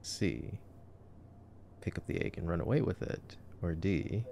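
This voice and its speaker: Young male, deep and heavily creaky, for sarcastic ASMR boyfriend movie commentary; slangy, understated. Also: expressive, a little slow, dark tone, slight background noise. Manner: sarcastic, slangy, low-key. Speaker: ASMR roleplay performer.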